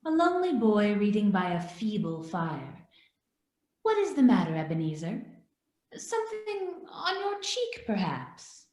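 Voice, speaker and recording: A woman playing a calm, probing spirit guide in a radio play, speaking in a measured, solemn, gently questioning way. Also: mid-range voice. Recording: roomy, echoing voice.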